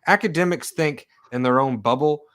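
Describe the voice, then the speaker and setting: A man's voice: a little high, moderate pace. A man from Oklahoma with a slight Southern accent, telling stories in a casual conversation. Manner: storytelling, animated in quoted lines. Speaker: historian researcher.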